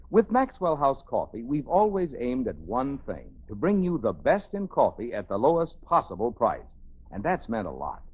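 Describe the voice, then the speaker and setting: A man's voice: mid-range voice, breathy edge. An American man reading a scripted 1950s radio commercial as an announcer. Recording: narrow, tinny 1950s radio recording.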